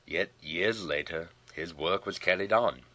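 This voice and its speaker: A man with a low, forceful voice narrates a historical tale. His delivery is theatrical and solemn, with deliberate phrasing suited to an audiobook of old battles and legends. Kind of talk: dramatic scripted storytelling narration of a historical tale.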